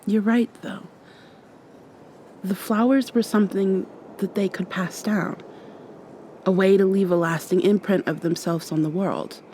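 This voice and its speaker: Woman playing a slow, soft-spoken, tender vampire girlfriend. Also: mid-range voice, slight background noise, close to an everyday voice. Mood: tender, earnest. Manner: soft, tender, unhurried, thoughtful pauses. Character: gentle, affectionate young female vampire confiding in her girlfriend. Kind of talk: intimate ASMR roleplay.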